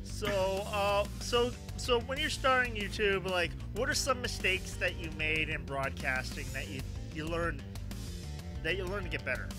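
A man's voice: high-pitched, measured pace, over music. A man hosting a casual gaming interview, rambling, repeating phrases and given to exclaiming. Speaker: podcast talk show host. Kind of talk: casual excitable interview chat.

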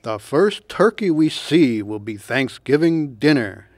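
A man's voice: medium pitch, lively intonation, a little slow, no room sound. A man voicing a confident big pilgrim in a storybook, speaking in an assured, declaring way. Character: confident big pilgrim brother, a male turkey hunter. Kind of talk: storybook character voice.

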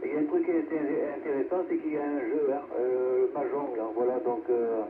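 A male amateur radio operator chats casually in a halting, repetitive way. His mid-pitched voice comes through a phone-thin radio channel with clear noise. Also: steady tone. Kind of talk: casual chat over radio. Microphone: heard through a radio receiver speaker. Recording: narrowband radio with noise and reverberation.

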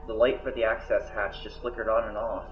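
A man's voice: lowish voice, level tone, fairly quick, close to an everyday voice. A man playing a grumbling, world-weary cargo ship pilot in an audio drama, talking casually. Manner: casual grousing. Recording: dull, echoey sound with music.

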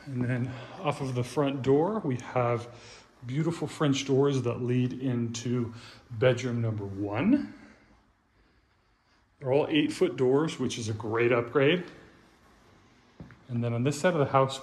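A man's voice: mid-range voice, measured pace, pauses often. Man touring an echoey empty house. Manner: relaxed, upbeat, pointing out features. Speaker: real estate agent giving a house tour. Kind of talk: casual walkthrough narration. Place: large empty house, indoors. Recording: echoey empty rooms, faint room noise.

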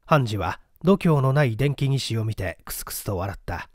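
A man's voice: mid-range voice, animated, normal speed, a little mellow, no echo, studio quality. A man reading a mystery story aloud as scripted narration. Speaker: audiobook narrator.

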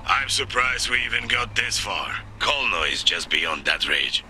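An actor playing a fierce, defiant middle-aged rebel commander in a video game, gruff, blunt and commanding. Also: male voice, lowish voice, sing-song, measured pace, pushed and bright, slight background noise, full character voice. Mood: determined. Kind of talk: dramatic video game voice acting.

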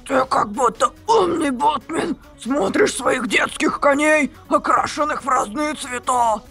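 A man voicing a sneaky, crude bearded male villain in a visual novel, with a gruff, drawling voice. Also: very high voice, unhurried, pushed and bright, faint music behind, voice pushed up, full character voice. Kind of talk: amateur voice-over of a visual novel.

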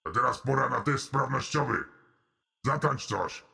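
A gravelly, creepy prison cellmate. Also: male voice, mid-range voice, a little slow, exaggerated voice. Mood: menacing. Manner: deadpan, threatening, mock-friendly. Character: sleazy menacing male prison cellmate, creepy. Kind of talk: animated comedy voice acting.

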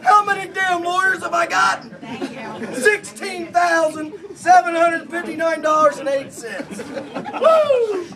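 A middle-aged man giving a rowdy comic wedding toast from cards, shouting and laughing through it, recorded on a distant phone in a crowded party room. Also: very high voice. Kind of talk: comic roast-style toast read from cards. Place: indoor party venue, crowded. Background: party crowd chatter and laughter.

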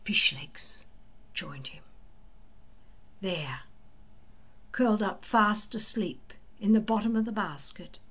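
An older woman reading a children's book aloud slowly and deliberately, with dramatic emphasis. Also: mid-range voice, animated, a bit bright, husky. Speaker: storybook reader. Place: small indoor room at home.

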